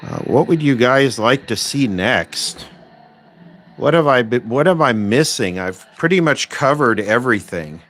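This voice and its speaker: A man hosting a casual livestream about electronics repair, thinking aloud in a halting, rambling way with dry, wry remarks. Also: medium pitch. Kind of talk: casual unscripted livestream chat, reading and answering viewer requests. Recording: clear steady background noise.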